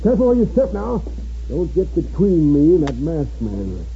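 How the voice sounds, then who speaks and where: An actor playing a sly, merciless old swindler with an American accent in a 1950s radio western. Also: male voice, fairly high pitch, wildly swooping pitch, moderate pace, gravelly, soft background music, exaggerated voice. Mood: smug, cunning. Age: older. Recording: dull, faintly noisy 1950s radio recording.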